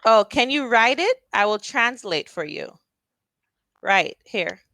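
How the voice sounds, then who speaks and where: A fairly high-voiced woman teaches English over a video call, taking her time with each word. Her questions come slow and patient. The sound is close and dry, with no room around it. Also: animated, sharp tone, no room sound. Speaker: online English tutor. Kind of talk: casual tutoring conversation.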